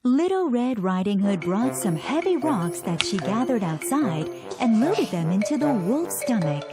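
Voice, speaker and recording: A woman's voice: medium pitch, sing-song, measured pace. A woman narrating a scripted fairy tale for children as a storyteller. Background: loud music.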